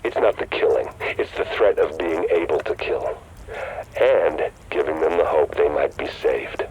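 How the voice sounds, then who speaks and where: A calculating rogue leader talks in a very deep, even voice. He is calm, persuasive and matter-of-fact. Noisy game ambience sits beneath the dialogue. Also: a little slow, highs cut off, exaggerated voice. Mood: cool, persuasive. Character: scheming male rogue leader, cool and calculating. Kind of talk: video game cutscene dialogue.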